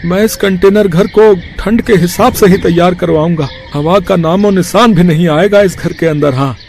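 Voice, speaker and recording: A man's voice: high-pitched, moderate pace, darkish tone. An actor playing a poor, hardworking village father in a cartoon, earnest, hesitant and deferential. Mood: reassuring, determined.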